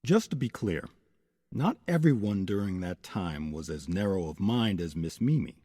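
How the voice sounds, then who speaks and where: Male host-narrator, lower husky voice, dry recording, measured scripted delivery for a history radio show. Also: lively intonation, mellow, dry recording. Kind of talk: scripted narration and closing credits.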